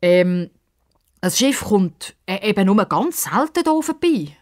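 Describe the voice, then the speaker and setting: A woman's voice: medium pitch, big pitch swings, voice pushed up. A woman voicing an embarrassed, grumbling old man who stammers and hesitates in a children's story. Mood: stalling. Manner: halting, stammering, hemming and hawing.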